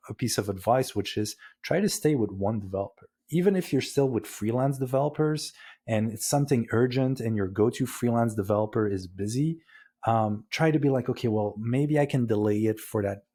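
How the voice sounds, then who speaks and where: A man's voice: on the low side, dark tone. A man with a Dutch accent, a podcast guest talking casually and often restarting his sentences. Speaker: guest, ecommerce agency founder.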